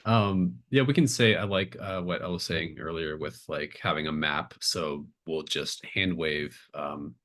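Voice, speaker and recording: A man improvising casual narration as a game master, halting and thinking aloud. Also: a bass voice, fairly bright tone.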